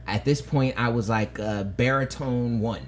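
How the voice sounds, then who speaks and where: A man's voice: mid-range voice, unhurried, slight background noise. A man co-hosting a podcast, telling rambling stories in casual chat. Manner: rambling storyteller, trails off and restarts. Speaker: podcast co-host.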